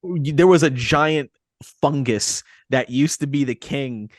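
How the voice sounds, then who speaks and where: A man's voice: mid-range voice, a little slow, no echo. Male podcast co-host, mid-range voice, casual storyteller who drops in quoted asides, dry recording. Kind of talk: casual movie discussion.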